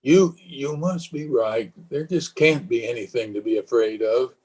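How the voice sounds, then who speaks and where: An actor playing a haunted, superstitious, fearful husband in the dramatic, halting style of a 1930s radio horror play. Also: male voice, mid-range voice, a little mellow. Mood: frightened. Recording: husky, muffled voice recorded over video call.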